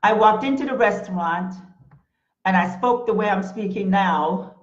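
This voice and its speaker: A woman's voice: medium pitch, normal speed. A woman from Panama with a Caribbean English accent, hosting a live motivational talk show in an earnest, emphatic way with many hesitant fillers. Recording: roomy, dull lo-fi live stream.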